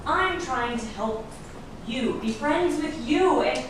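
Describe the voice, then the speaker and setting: A woman's voice: sits high, lively intonation, slow. A woman playing a detective's meddling wife in a staged drama reading, earnest and insistent, often hesitant. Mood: flustered. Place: echoey room at a staged reading. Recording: echoey room, distant mic, noisy background.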